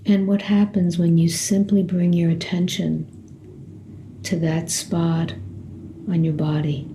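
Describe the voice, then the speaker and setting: Woman meditation guide: soft-edged dark tone, even delivery, gentle and unhurried, over some background noise. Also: fairly low pitch, rough recording. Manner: gentle, unhurried, with long pauses between short phrases. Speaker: meditation teacher. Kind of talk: guided body scan meditation.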